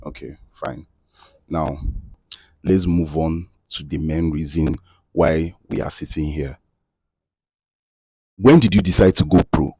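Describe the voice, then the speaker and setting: Male host, very low voice, chimes in by echoing the guest, dry sound. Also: takes it slowly, pauses often, mellow, slightly rough. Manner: echoes and finishes the guest's words. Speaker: interviewer. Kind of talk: casual interview.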